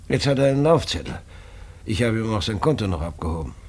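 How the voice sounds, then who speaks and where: An actor playing a stubborn, proud older father in a German radio drama, with a gravelly, husky voice. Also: lowish voice, big pitch swings, fast talker. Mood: weary, reassuring.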